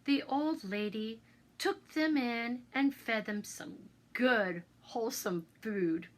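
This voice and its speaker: A woman narrating a children's bedtime story in a theatrical, expressive manner, as a storyteller. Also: fairly high pitch, slow pace. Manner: theatrical, expressive, with exclamations and asides.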